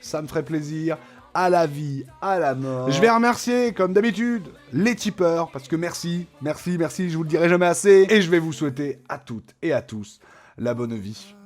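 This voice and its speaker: High-voiced slangy man, enthusiastic comic commentator. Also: lively intonation, moderate pace. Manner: slangy, repetitive, enthusiastic, profane. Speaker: video host. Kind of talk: casual comic cartoon reaction commentary.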